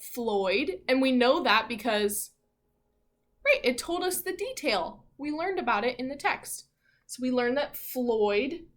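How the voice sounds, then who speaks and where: A woman teacher leading an interactive read-aloud for young children, asking questions and reading with animated emphasis. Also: on the high side. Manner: asks questions and pauses as if hearing answers. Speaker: elementary school teacher.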